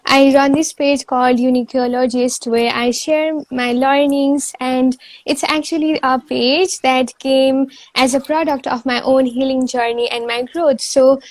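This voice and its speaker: Young female student from Nepal, high and dry-sounding, polite and thankful. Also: fairly even intonation, measured pace, hardly any pauses, no room sound. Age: young adult. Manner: grateful, polite, soft-spoken. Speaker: student and page creator. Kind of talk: panel self-introduction.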